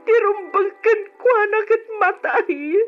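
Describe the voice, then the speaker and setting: High-pitched, tearful radio melodrama heroine. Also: female voice, slow. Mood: anguished, tearful. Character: distraught woman in a tragic family melodrama. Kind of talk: Ilocano radio drama acting. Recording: dull old radio recording with music.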